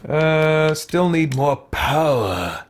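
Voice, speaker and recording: A man's voice: fairly high pitch, sing-song, very slow, slight background noise. A man hosting a casual let's play, thinking aloud and exclaiming as he goes. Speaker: gaming channel host.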